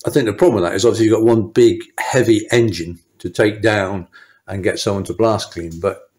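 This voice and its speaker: A man's voice: on the low side, fairly even intonation, brisk, darkish tone. A middle-aged man, a motorcycle mechanic, talking through a job in a small workshop, unscripted with lots of restarts and fillers. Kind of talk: workshop walkthrough talk.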